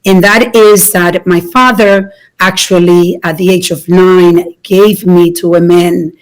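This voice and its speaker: A woman in her fifties from the Dominican Republic, telling her life story to an audience in an earnest way, with many small pauses. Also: fairly low pitch, steady tone, rough recording. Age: 50s. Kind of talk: personal talk to an audience.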